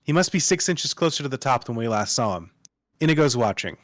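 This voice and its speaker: A man's voice: medium pitch, expressive, a little fast, dry recording, studio quality. A man reading stage directions as dramatic scripted narration at a live table read.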